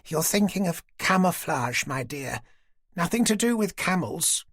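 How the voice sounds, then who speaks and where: A curt, protective younger woman, as a male narrator puts her on, with clipped, brisk lines. The voice is husky with some fry, and the recording is dry. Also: on the low side, expressive, dry recording, pitched above the performer's own voice.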